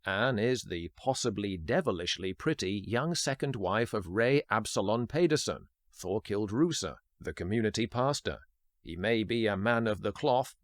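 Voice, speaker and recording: A man hosting a podcast, reading a scripted film history narration in a measured, formal way. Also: fairly low pitch, no echo, clean studio sound. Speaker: podcast host.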